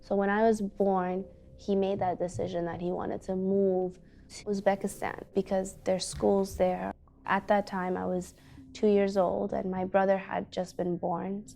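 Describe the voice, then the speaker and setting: A young woman who is a teacher, telling her family's story in an earnest, halting way with many fillers. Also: medium pitch, level tone, vocal fry, dark tone. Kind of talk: personal storytelling interview. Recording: faint background music.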